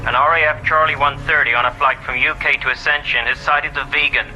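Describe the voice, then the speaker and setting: An actor playing an efficient junior naval officer, giving crisp, businesslike reports in a radio drama. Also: male voice, medium pitch, steady tone, fast, pushed and bright, a little hiss or hum, poor audio.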